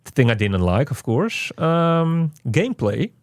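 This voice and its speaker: A man's voice: a little high, big pitch swings, measured pace, no room sound. A man co-hosting a casual game review chat, rambling and joking with many restarts. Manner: rambling, jokey, self-interrupting. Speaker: co-host of a game review show.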